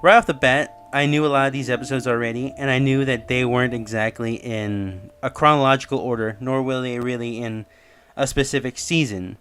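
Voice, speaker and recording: A man's voice: medium pitch, steady tone, brisk, a bit bright, clean tone. Man explaining theories over background music. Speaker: host of a theory video channel. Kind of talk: casual explanatory commentary voice-over. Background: music under the voice.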